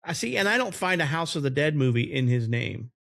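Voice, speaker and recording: A man's voice: a little high, sing-song, fairly quick, vocal fry, a bit bright, no echo, clean studio sound. A man co-hosting a podcast, chatting casually and reading out facts about movies. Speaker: podcast co-host.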